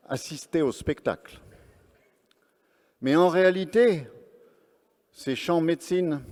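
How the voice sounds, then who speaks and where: A man's voice: mid-range voice, a little mellow. A man presenting and interpreting live at an event on stage, speaking earnestly phrase by phrase. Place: large event hall on stage. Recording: long reverb of a large hall.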